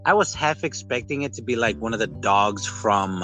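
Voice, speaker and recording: A man in a casual, rambling podcast-style chat reviewing a film, with animated retellings. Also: fairly low pitch, normal speed, soft background music. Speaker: podcast host.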